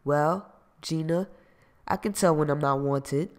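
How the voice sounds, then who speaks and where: A man reading an audiobook, voicing a jealous, possessive ex-boyfriend who accuses and shouts. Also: a little high, unhurried. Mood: sulky. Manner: loud, accusing, streetwise.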